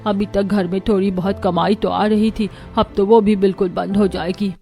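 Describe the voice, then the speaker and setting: Woman acting a worried village wife, mellow voice. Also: medium pitch, level tone, moderate pace, soft background music, lightly acted. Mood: anxious. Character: worried, dutiful poor village wife and young mother.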